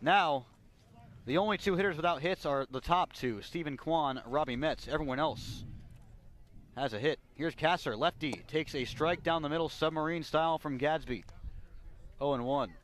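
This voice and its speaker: A man's voice: a little high, moderate pace, fairly bright tone. A man giving live radio play-by-play commentary as a baseball announcer.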